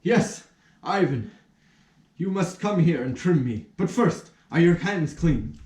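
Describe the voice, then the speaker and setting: A man voicing a pompous, excitable major in a puppet play, with theatrical, exclaiming, drawn-out delivery. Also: a little high. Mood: bossy, suspicious. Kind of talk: puppet theatre character acting. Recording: echoey room, distant mic.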